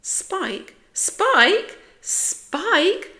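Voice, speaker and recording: A woman's voice: fairly low pitch, measured pace, close to an everyday voice. A British woman voicing an anxious, plaintive young boy cat who grumbles in a storybook. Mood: indignant.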